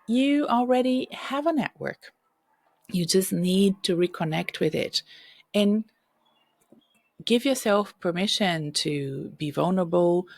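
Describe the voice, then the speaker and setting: A middle-aged woman hosting a career-advice podcast, hoarse and husky from illness, speaking directly and coaching listeners with frequent "okay?" checks. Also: on the low side, no room sound. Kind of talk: solo podcast advice talk. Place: home room.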